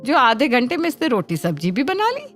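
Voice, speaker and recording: A woman's voice: high-pitched, wildly swooping pitch, fairly quick, voice pushed up. Storybook mother-in-law, played by a female narrator: middle-aged, motherly, coaxing yet exasperated, over music. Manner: motherly coaxing, addresses others as child. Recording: background music under the voice.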